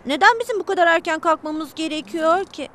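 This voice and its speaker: A woman voicing an eager, naive little boy city bus in a children's cartoon, childlike and expressive. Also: extremely high pitch, fairly quick, background noise. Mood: pleading, whiny. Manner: childlike, expressive, whiny when pleading. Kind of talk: animated children's cartoon dub. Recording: music behind the voice.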